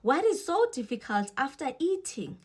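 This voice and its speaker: A woman's voice: high-pitched, very animated, moderate pace. A woman from the Eastern Cape with a South African accent, talking casually and giving her opinions as a vlogger, with wry asides.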